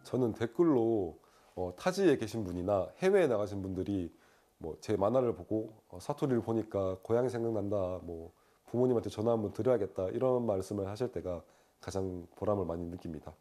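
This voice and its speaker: A man in his thirties from Daegu with a Gyeongsang accent, a webtoon artist talking in an interview with relaxed fillers. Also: fairly low pitch, brisk, faint music behind. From Daegu, South Korea. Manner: relaxed, earnest, frequent "uh" fillers. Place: indoor studio with shelves. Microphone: lapel.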